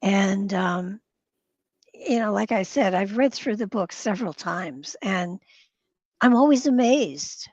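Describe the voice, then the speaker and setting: A woman's voice: mid-range voice, animated, takes it slowly, darkish tone, dry recording, muffled recording. A woman speaking casually and conversationally as an interview guest.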